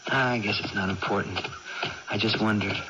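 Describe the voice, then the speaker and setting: An actor playing a gentle, anxious, protective young farm brother in a vintage radio drama, earnest and cautious. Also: male voice, fairly low pitch, steady tone, normal speed, music in the background, natural voice. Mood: gentle, coaxing. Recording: muffled noisy old radio broadcast.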